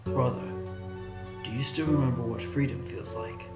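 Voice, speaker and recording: A man voicing a smooth, scheming weasel prisoner who talks quietly and condescendingly in an amateur audio drama. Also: medium pitch, fairly even intonation, normal speed, lightly acted. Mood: quietly smug. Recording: roomy echo, music underneath.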